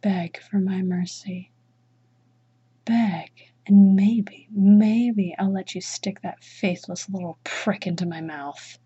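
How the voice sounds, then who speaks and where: Slow, breathy, whispering woman. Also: mid-range voice, fairly even intonation, mellow, muffled recording. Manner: commanding. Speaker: erotic audio roleplay performer. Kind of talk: dominant mistress roleplay.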